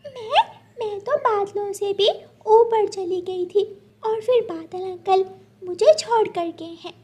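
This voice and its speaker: A woman's voice: extremely high pitch, slow pace, a little mellow, pitched above the performer's own voice. A woman voicing a sweet, chatty little girl bird in a cartoon, with a childlike, eager manner.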